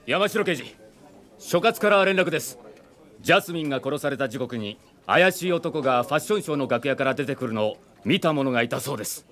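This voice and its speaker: A man's voice: medium pitch, lively intonation, a bit bright. A man playing a dutiful junior police officer with a formal, urgent manner in a Japanese radio drama. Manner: brisk, formal. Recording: clear background noise.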